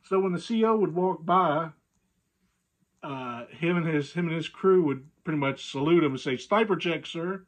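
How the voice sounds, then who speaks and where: A man casually telling a humorous anecdote, animated and amused by his own tale. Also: fairly high pitch, moderate pace. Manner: animated, acts out dialogue, chuckles at own story. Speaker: storyteller.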